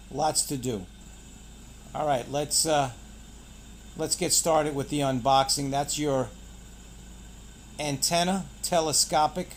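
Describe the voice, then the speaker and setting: A man's voice: fairly high pitch, slight background noise. A man hosting a casual product unboxing, pointing things out in short, clipped phrases. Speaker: product reviewer and channel host.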